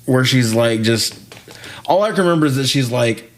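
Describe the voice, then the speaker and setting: A man's voice: medium pitch, normal speed. A man co-hosting a podcast, casually recapping a film in an irreverent, wry way and swearing freely. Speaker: podcast co-host.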